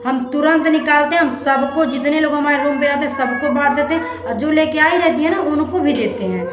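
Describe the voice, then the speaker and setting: A young woman vlogger speaks Hindi with a Bhojpuri accent in a fairly high voice. She chats earnestly and plaintively, often repeating herself. The room is echoey, with clear noise behind her. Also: a little fast. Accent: Bhojpuri-accented Hindi, eastern Uttar Pradesh. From eastern Uttar Pradesh, India. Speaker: family vlogger. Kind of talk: casual confiding vlog chat to viewers. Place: indoors in a rented room.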